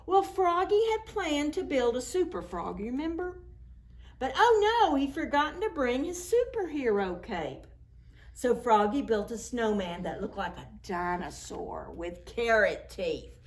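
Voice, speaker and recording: A woman reads a picture book aloud to preschoolers in a playful, expressive style. Her voice sits fairly high and swings widely in pitch, and she takes her time over the words. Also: measured pace. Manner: playful, acts out sound words. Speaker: children's storytime reader.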